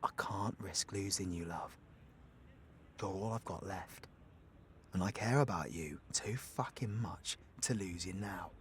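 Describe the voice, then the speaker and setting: Man acting out a cocky, bright-voiced British supervillain. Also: mid-range voice, very animated, measured pace, a little hiss or hum. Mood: intimate, protective. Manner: brash, slangy, swearing, teasing. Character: cocky, foul-mouthed British supervillain, protective of his partner. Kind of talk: audio roleplay acting addressed to the listener.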